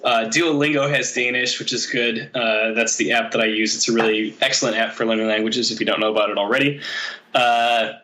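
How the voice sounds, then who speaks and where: A man, a powerlifting coach, talking as a podcast guest in a relaxed, rambling way with many pauses. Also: mid-range voice, poor audio. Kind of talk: casual podcast conversation.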